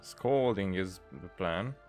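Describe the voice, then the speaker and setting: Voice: low voice, takes it slowly, darkish tone. A gaming streamer giving casual playthrough commentary and reading dialogue aloud, with game music behind.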